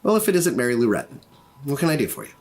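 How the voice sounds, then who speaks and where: A man's voice: mid-range voice, very animated, rapid-fire, a little mellow, a little hiss or hum, natural voice. A man doing a haughty popular teen mean girl. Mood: coolly condescending. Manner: haughty, condescending. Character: snooty, popular rich teenage mean girl.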